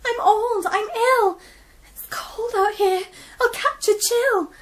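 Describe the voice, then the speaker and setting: Woman voicing a shrill greedy wolf-granny. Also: takes it slowly. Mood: pleading. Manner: wheedling, then greedy and shrill. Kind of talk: storybook read-aloud.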